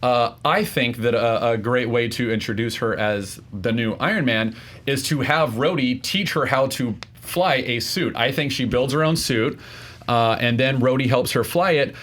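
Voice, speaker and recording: A man with a mid-range voice lays out an informal argument on a film debate show. He speaks haltingly, padding his points with fillers as he builds them. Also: medium pitch, a bit bright. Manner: hesitant, filler-heavy delivery. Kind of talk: informal argument about films.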